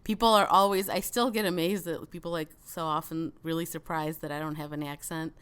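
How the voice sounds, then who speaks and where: A woman's voice: deep voice, steady tone, a little fast, fairly bright tone, smooth. Chatty woman with a mild Chicago accent. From Soviet Union. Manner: chatty, many fillers and restarts, laughs between thoughts. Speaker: guest. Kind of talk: casual interview conversation.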